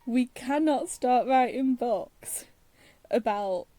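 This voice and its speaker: A woman's voice: on the high side, slow, warm tone, no room sound. A woman giving casual, wry commentary as she plays through a video game, with muttered asides. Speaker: game streamer. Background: faint game music.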